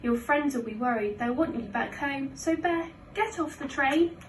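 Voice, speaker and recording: A woman voicing a worried young boy in a children's storybook, calling out loud, insistent, sing-song warnings. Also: high voice, moderate pace, voice pushed up, natural voice. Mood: urgent, warning. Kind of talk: children's storybook read-aloud. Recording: roomy, distant mic, faint noise.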